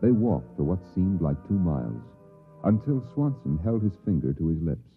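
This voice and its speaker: An American actor narrating an uncanny old-time radio drama as a grave, dramatic storyteller. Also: male voice, deep voice, very animated, moderate pace. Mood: ominous, measured. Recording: tinny, band-limited vintage radio recording. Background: faint music.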